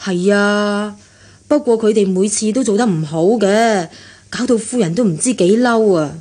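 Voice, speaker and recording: An actress playing a chatty, fussy household servant with Hong Kong Cantonese speech in a radio drama. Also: female voice, mid-range voice, a little mellow, natural voice.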